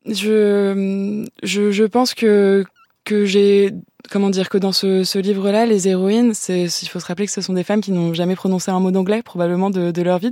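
Hesitant young woman novelist, soft-spoken. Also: medium pitch, no room sound, studio quality. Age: 30s. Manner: hesitant, many "euh" and restarts, soft-spoken. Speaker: novelist guest. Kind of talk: radio interview answers.

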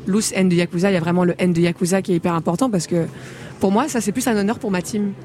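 Fast-talking woman from the Congo. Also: lowish voice, steady tone, a little mellow, a little hiss or hum. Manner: candid, laughs often, trails off with fillers. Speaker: guest singer. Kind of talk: radio interview.

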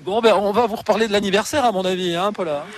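A man's voice: high voice, fast, a crowd in the background. A man working as a roving radio reporter, chatting live with people at a town fair. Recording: light background noise at a fair.

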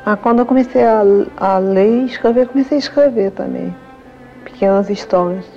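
A woman's voice: fairly high pitch, a little fast, mellow, faint music behind. Woman in a dull old archival recording. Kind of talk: archival interview.

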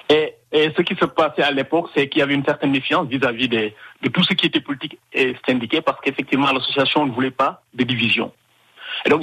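A man's voice: mid-range voice, pushed and bright, slightly rough, poor audio. A man from Senegal, calling in to a radio show from Canada, talking with many fillers and restarts. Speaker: guest. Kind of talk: phone-in radio interview.

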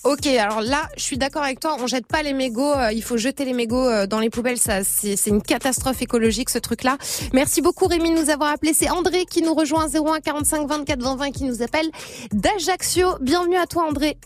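Casual radio phone-in host, woman, high bright voice, quick to agree. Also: soft background music. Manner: upbeat, friendly, quick to agree with callers. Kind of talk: live radio phone-in hosting. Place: radio studio.

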